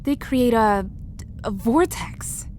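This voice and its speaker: A woman's voice: fairly high pitch, takes it slowly, a little mellow, a little hiss or hum. A woman playing a cautious, secretive guardian of a magic doorway in an audio drama. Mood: mysterious, explaining. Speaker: voice actor.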